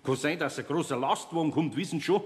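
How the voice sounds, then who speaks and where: Grumbling older Bavarian craftsman, highish voice. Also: breathy edge, no echo. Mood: sheepish. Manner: flustered, halting, grumbling. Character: older male craftsman, grumbling, worried, good-hearted. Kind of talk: German children's radio play acting.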